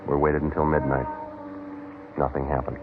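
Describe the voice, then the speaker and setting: A man's voice: rumbling low, flat delivery, normal speed, background noise. An actor playing a terse police detective sergeant, narrating his case in a clipped, matter-of-fact way in a radio drama. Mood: deadpan. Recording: muffled old 1950 radio recording.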